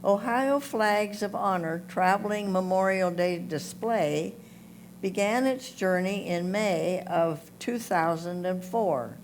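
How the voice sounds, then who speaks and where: Slow-reading woman with background hum. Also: medium pitch, rough recording. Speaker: reader of photo captions at a photo club presentation. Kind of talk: slow read-aloud photo captions. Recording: clear background noise with hum.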